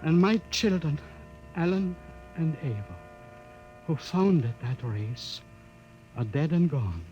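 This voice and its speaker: An actor in a 1950s radio drama playing an aged scientist, explaining slowly and heavily. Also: male voice, a little high, animated, warm tone, lo-fi sound. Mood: grave. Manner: slow, heavy, explanatory. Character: aged male scientist, grieving and awed. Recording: old radio broadcast with faint hum.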